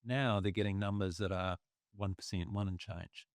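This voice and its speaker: A man, losing his voice, talking through markets in a casual, halting way and clearing his throat often. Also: deep voice, steady tone, dry recording. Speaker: investment commentator.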